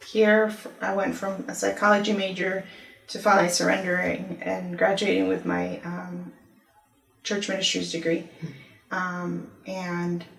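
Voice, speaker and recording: A woman in her thirties from Ecuador, a university office director, telling her life story in a relaxed interview with frequent pauses. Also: on the low side, creaky. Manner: thoughtful, many "um" pauses, storytelling. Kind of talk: conversational testimony interview. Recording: echoey room, mic at a distance.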